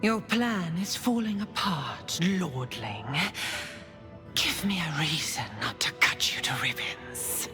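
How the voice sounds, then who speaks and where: A woman voicing a sadistic, bloodthirsty female murderer who hisses her threats in a drawn-out whisper. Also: low-pitched, expressive. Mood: menacing. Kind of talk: video game voice acting. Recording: game cutscene with loud music.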